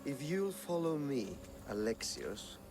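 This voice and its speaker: An actor playing a calculating, sinister wealthy schemer in a video game, measured and condescending. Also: male voice, medium pitch, sing-song, vocal fry, music in the background, exaggerated voice. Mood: calm, calculating. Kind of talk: dramatic video game acting.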